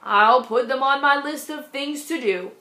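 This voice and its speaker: A woman's voice: high-pitched, unhurried, sharp tone. A woman doing a mild, busy dad. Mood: matter-of-fact. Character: busy, agreeable family father. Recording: echoey room.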